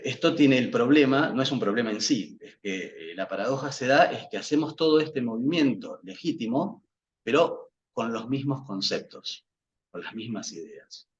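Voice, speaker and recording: A man whose first language is Portuguese, giving a reflective academic talk as a psychoanalyst guest, hesitant, with many fillers and restarts. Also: mid-range voice. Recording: dull lo-fi sound with faint noise.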